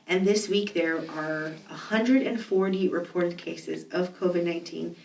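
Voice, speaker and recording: A woman's voice: lowish voice, steady tone, a little slow, gravelly, darkish tone. Woman interviewer, encouraging, distant mic, roomy echo, faint background hum. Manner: encouraging, short affirmations. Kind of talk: oral history interview. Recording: echoey room, mic off at a distance.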